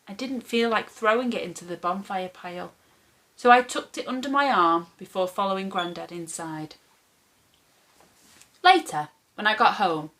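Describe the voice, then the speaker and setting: A woman reading a children's story aloud as a storyteller, with expressive, animated phrasing for young listeners. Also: medium pitch.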